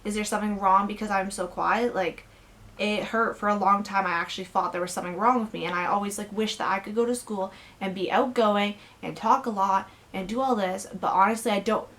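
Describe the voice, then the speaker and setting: A woman's voice: medium pitch, fairly even intonation, fairly quick, fairly bright tone. A young woman vlogging from her bedroom, talking candidly and earnestly with lots of fillers in a personal rant.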